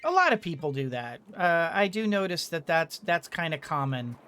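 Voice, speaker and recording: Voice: medium pitch, sing-song, moderate pace, fairly bright tone, slight background noise. A video game streamer giving casual commentary, thinking aloud in a hesitant, rambling way.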